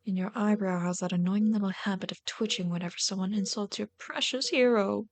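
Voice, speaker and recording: A woman's voice: medium pitch, fairly quick, no echo, close to an everyday voice. A woman playing a mocking yet caring villain in an ASMR roleplay, with a dry, taunting, theatrical delivery. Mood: scornful, dry. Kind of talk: ASMR audio roleplay drama.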